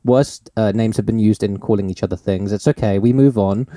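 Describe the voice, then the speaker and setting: Man, mellow voice, dry close sound, teasing podcast host, rambling. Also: fairly low pitch, a little fast, no room sound. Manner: teasing, rambling, laughs often. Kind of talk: casual podcast chat.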